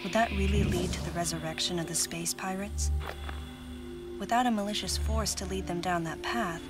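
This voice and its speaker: Actress playing a lowish-voiced female bounty hunter, brooding game narration, music playing behind. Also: fairly even intonation, normal speed, lightly acted. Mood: reflective. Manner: quiet, reflective inner monologue. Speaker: narrator and protagonist. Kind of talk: video game cutscene voice acting. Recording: game audio with music underneath.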